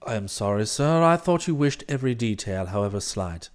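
A man voicing an unflappable, deferential English gentleman's valet in an audiobook, with upper-class British English and formal, measured, discreet phrasing. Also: fairly low pitch, lively intonation, voice pushed down, close to an everyday voice. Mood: calm, deferential. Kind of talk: audiobook character voice.

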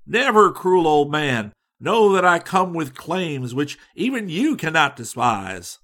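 A man's voice: on the high side, lively intonation, a little slow, a bit bright. A man voicing a lovelorn young suitor, bitter and defiant, declaiming in a mock-heroic, archaic storybook manner.

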